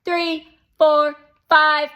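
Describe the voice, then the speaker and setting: A loud, pushy young boy, voiced by a woman reading a storybook. The voice jumps up high and bright with excitement. He sounds self-assured and boastful, as if every game is his to run. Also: very slow, full character voice. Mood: triumphant, carefree. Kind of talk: children's storybook character voice.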